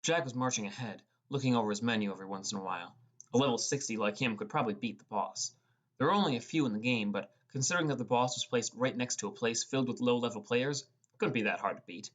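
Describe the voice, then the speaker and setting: A man's voice: mid-range voice, fast talker, fairly bright tone. A man reading first-person fantasy narration as an audiobook narrator. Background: music.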